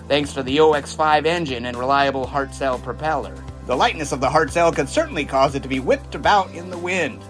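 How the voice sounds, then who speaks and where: An actor playing a confident, folksy 1920s American test pilot in an audio drama, jaunty and boastful, with old-fashioned wordy phrasing. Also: male voice, medium pitch, a bit bright, natural voice. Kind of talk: audio drama dialogue and first-person storytelling.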